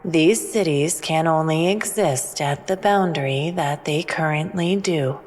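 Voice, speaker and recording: A woman playing a calm, all-knowing alien who speaks in a measured, formal, cryptic way in a sci-fi audio drama. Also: low voice. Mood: calm, assured. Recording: long reverb, music underneath.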